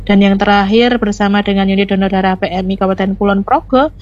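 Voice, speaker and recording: Woman, hoarse, friendly staffer reading out schedules and lists of numbers on a muffled phone-in line. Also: medium pitch, fairly even intonation, quick pace, a little mellow. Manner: friendly, reads out lists of figures. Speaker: blood donor unit staff. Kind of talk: radio phone-in report.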